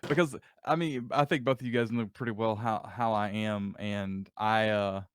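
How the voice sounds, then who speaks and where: A man as a podcast guest, talkative and quick with animated interjections. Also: fairly low pitch, smooth. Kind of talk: casual podcast chat.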